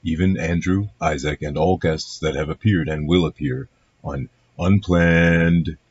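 A man plays a logical half-human science officer in a radio play. The voice is very low and a little rough, with formal, deliberate, deadpan delivery. The recording is slightly dull and lo-fi. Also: no room sound, exaggerated voice. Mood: matter-of-fact. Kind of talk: scripted amateur radio play.

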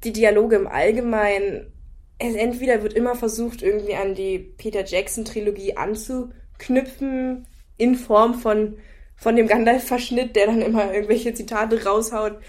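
A woman's voice: a little high, fairly even intonation, normal speed, darkish tone. A woman co-hosting a casual review chat, thinking aloud critically and restarting her sentences. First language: German.

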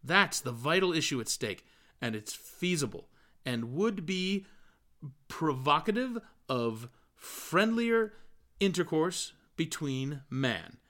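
A man voicing an earnest, well-meaning middle-aged gentleman who lectures in a pompous, verbose, fussy way for an audiobook. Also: a little high, big pitch swings, slow, voice pushed up, full character voice. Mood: dramatic, earnest.

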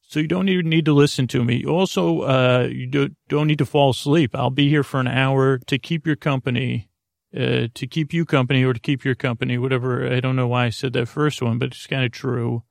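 Gravelly, meandering male bedtime podcaster. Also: mid-range voice, steady tone, no echo, clean studio sound. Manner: meandering, halting, self-interrupting. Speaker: podcast host. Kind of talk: rambling bedtime monologue.

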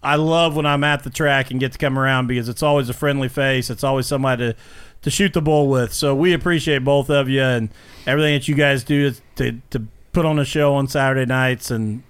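A man hosting a casual racing podcast, animated and quick to laugh. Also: medium pitch, clean tone. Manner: laughs often, animated, thanks guests warmly. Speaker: podcast host.

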